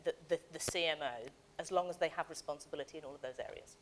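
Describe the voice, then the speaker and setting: A woman panelist answering a question, thinking aloud with halting starts and repeats. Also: sits low, background noise.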